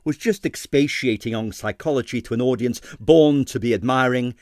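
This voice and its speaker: A man reading a comic 1930s detective novel aloud as dramatised narration, with expressive, wry delivery. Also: mid-range voice, normal speed, dry recording, studio quality. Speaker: audiobook reader.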